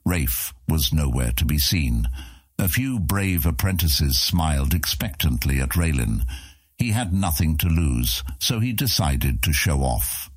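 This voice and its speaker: A man narrating a fantasy audiobook as a scripted story, with a gravelly, husky voice. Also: a bass voice, expressive, a little slow, no room sound.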